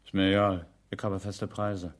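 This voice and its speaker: A man's voice: low voice, flat delivery, normal speed, close to an everyday voice. An actor playing a brash, businesslike male hustler in a radio drama, blunt and colloquial. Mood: cocky, matter-of-fact. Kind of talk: German radio drama acting.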